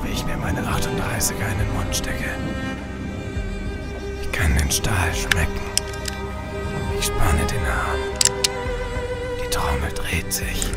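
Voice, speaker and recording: A man playing a gravelly, weary old noir detective. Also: animated, slow pace, long pauses, loud music behind the voice, heavily acted. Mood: brooding. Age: older. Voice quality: deep gravelly, hard-boiled. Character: bitter gruff aging male noir detective. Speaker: narrator. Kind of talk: noir parody audio drama.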